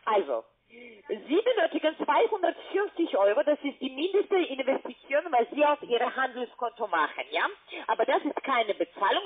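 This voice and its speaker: A woman in giving a telephone sales pitch, often tagging her statements with little questions. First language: not German. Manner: ends statements with questioning tags. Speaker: telemarketing caller. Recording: muffled narrow phone line.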